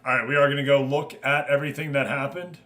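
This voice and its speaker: A man with a bright, forceful voice streams a football management game. He gives live play-by-play and drops into casual asides to viewers. His delivery is excitable and exclamatory, with a mid-range pitch. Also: fast talker.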